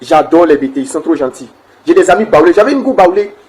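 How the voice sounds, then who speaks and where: A young man with an Ivorian French accent talks fast and with heat. He repeats himself and insists on each point. His voice sits a little high and jumps higher still when he is worked up. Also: expressive, a little mellow. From Côte d'Ivoire. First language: Burkina Faso roots, raised in Côte d'Ivoire. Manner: heated, repetitive, insistent, swings up in pitch when worked up. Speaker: TikTok video maker. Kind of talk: impassioned self-recorded rant.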